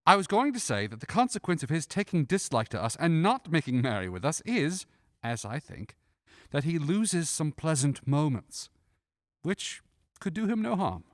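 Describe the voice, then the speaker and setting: A man plays a jovial young gentleman in a radio play. His voice is fairly high with lively swings, earnest and good-humoured, in a dry recording. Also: normal speed, dry recording. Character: cheerful, good-hearted young gentleman nephew. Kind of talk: stage-style radio drama acting.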